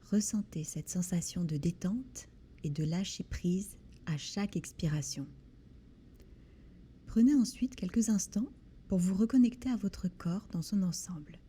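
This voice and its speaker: A woman leads a guided body scan meditation in a soothing, gentle manner, with deliberate pauses between short phrases. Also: fairly low pitch. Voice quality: soft, breathy. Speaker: guided relaxation instructor.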